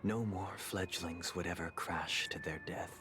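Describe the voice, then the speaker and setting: A man playing a solemn, eloquent brother in a video game, speaking in a measured, oratorical manner. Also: low voice, steady tone, noisy background. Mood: grave. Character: grave, eloquent, idealistic yet fatalistic brother. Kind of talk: scripted video game dialogue. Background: game music, streamer talking over.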